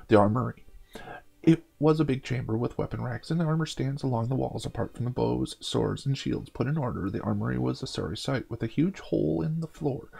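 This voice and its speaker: A man's voice: mid-range voice, gravelly, a little mellow, breathy edge. A man hosting a gaming show, reading a fantasy story theatrically with casual commentary.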